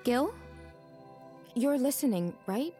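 A woman's voice: sits high, big pitch swings, takes it slowly, mellow, full character voice. Young tarot card lady, kind and earnest, radio play style, bit of music under her. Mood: attentive. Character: kind young woman reading tarot cards. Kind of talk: audio drama acting.

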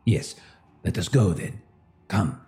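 A man's voice: low-pitched, lively intonation, slow pace, a little mellow. A man playing a tabletop game, giving orders in character in a commanding, bossy way. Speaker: player.

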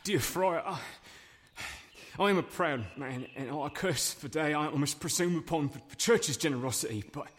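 An actor playing a proud but desperate medieval peasant father in an audio drama, halting, stammering and deferential. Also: fairly high pitch, fairly bright tone, a little hiss or hum, close to an everyday voice. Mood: ashamed, hesitant. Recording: echoey hall reverb.

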